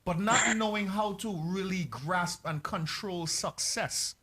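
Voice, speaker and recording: A man with a somewhat high voice asks long, earnest questions, stressing points as he builds them. He has a broadcaster's interview manner. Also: slow, very noisy. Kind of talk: radio interview.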